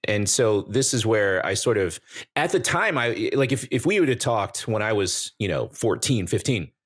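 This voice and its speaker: A man in his forties from American Samoa, a guest telling his life story in a relaxed, joking way. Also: fairly low pitch, normal speed, slightly rough. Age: 40s. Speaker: guest, YouTuber. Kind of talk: casual interview chat.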